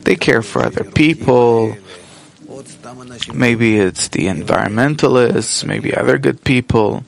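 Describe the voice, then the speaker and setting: A man interpreting a spiritual teacher live, working through each thought in measured, halting phrases. His delivery is unhurried, and his mid-range voice carries a gravelly edge. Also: medium pitch. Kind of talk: simultaneous interpretation from another language.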